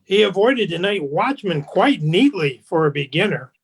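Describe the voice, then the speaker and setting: A man's voice: high-pitched, sing-song, normal speed, husky, heavily acted. A man plays a snobbish society go-between for a radio play. He is formal and genteel, deferential in his phrasing and faintly condescending. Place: remote home recording over video call. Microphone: video call.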